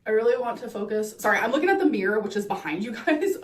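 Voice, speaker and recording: A woman's voice: a little high, big pitch swings, quick pace. A woman talking to her camera in a chatty, gushing vlog style, full of fillers. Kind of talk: casual vlog talk to camera.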